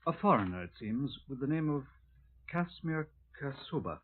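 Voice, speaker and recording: A man's voice: medium pitch, big pitch swings, normal speed, slight background noise, natural voice. An earnest, friendly Welsh detective sergeant played by a male actor in a vintage radio drama. His voice sits in the middle range and comes through a narrow, tinny broadcast recording. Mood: matter-of-fact relish. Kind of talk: 1950s radio drama acting.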